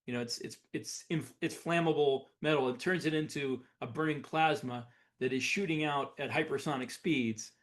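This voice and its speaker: A man's voice: fairly high pitch, normal speed, hardly any pauses. A man co-hosting a podcast in casual chat, explaining things informally and often correcting himself as he goes. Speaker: podcast co-host.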